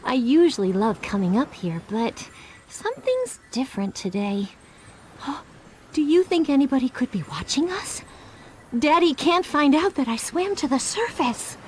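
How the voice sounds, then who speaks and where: A woman voicing a sweet, dreamy and anxious teenage mermaid princess for an animated game, with gasps and sighs. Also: high voice, normal speed, slight background noise. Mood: wistful, secretive.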